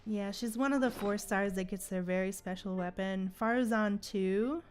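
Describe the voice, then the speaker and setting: A woman livestreamer chatting casually in a slangy, animated way while gaming. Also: mid-range voice, normal speed. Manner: chatty, animated retellings with frequent slangy exclamations.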